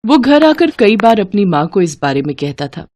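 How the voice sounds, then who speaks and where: A woman's voice: medium pitch, very animated, normal speed, darkish tone. A woman reading a scripted children's devotional story as its storyteller.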